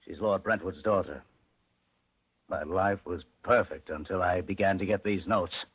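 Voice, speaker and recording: An actor playing a gruff, aggrieved middle-aged millionaire businessman in an old-time radio drama, blunt and impatient. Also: low voice, a little slow, fairly bright tone, no room sound, exaggerated voice. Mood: worried, defensive. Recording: vintage radio drama recording.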